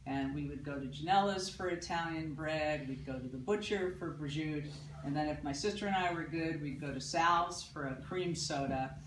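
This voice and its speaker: A woman from Paterson, New Jersey, loudly telling a personal story on stage to a live audience. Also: low-pitched, moderate pace, slight background noise. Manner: loud. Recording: roomy, distant mic, audible ambience.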